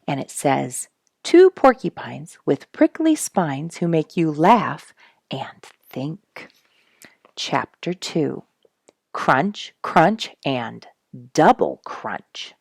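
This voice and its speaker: Woman storyteller, low and very creaky, expressive children's narration with deliberate dramatic emphasis. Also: no room sound, studio quality. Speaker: storyteller and audiobook reader, program host.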